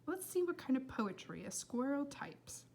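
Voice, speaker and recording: A woman's voice: sits high, sing-song, moderate pace, a little mellow, slight background noise. A woman voicing a tense, falsely cheerful mother with a stiff, artificial manner in a storybook. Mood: strained, guarded.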